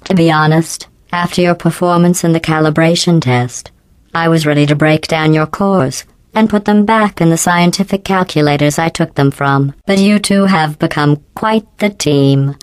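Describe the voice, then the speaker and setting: Game villain AI, female: low, very clean synthetic delivery, deadpan, sardonic, quietly menacing. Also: no echo. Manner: deadpan, precise, sardonic, measured. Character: sinister passive-aggressive female artificial intelligence running deadly tests. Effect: robotic synthetic voice processing. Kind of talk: scripted video game character acting.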